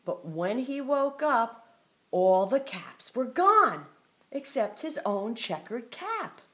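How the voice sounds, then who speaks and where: An older woman, a grandmother, reading a picture book aloud to her grandchild with playful, expressive asides. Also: fairly high pitch, slow. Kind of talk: storybook read-aloud.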